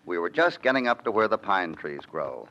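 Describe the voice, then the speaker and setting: An actor playing a calm, steady cowboy hero narrating his own story, from a 1951 radio broadcast. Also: low voice, moderate pace, heavily acted. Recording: dull 1951 radio recording.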